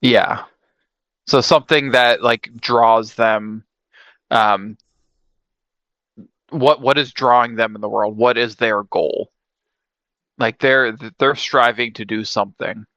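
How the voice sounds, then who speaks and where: Male co-host, bright crisp-edged tone, casual explainer delivery, very dry sound. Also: mid-range voice, fairly even intonation, slow pace, pauses often, no room sound, highs cut off. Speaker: podcast co-host. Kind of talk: casual explanatory podcast chat.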